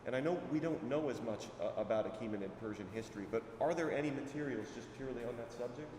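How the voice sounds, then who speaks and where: A man's voice: mid-range voice, fast, a little mellow, far from the mic. A man moderating a conference panel, asking questions hesitantly with fillers. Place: large conference hall. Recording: echoey hall from off-mic, room noise.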